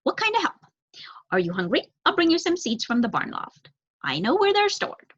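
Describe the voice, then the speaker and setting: A woman voicing a young, eager, helpful male crow who is polite, chatty and not very bright, in a storybook reading. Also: high voice, very animated, moderate pace, dull audio, voice pushed up.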